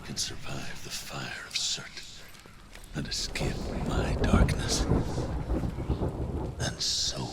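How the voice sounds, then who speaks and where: An actor playing a haunted escaped slave in a video game, a solemn, fervent mentor speaking in a gravelly, breathy, dramatic voice. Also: male voice, extremely low pitch, flat delivery, in a whisper. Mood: solemn, intense. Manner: slow, intense, dramatic, solemn.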